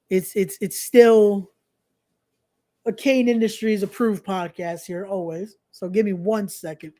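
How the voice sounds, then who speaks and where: A young adult podcast host talks in a loose, casual live-stream style at a middling pitch. Phrases get repeated, and promotional announcements are mixed with slangy, informal asides. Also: a little mellow.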